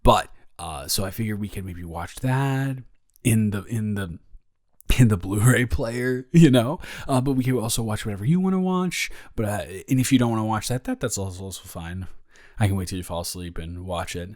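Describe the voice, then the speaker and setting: A young man playing a caring, playful roommate with a crush in an improvised audio roleplay, rambling and halting in a casual, teasing way. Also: on the low side, darkish tone, no room sound, studio quality, natural voice. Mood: rambling, gentle.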